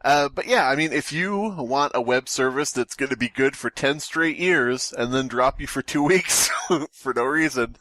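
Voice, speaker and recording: A man's voice: mid-range voice, moderate pace, pushed and bright, no echo. A man hosting a casual comic podcast, rambling along in a wry, joking way. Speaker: podcast host.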